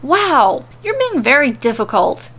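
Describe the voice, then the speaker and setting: A woman's voice: sits high, very animated, measured pace, fairly bright tone, husky, voice pushed up, heavily acted. A woman voicing a smug, manic male villain king with a sneering, condescending way of talking in an audio story. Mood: sneering, sarcastic.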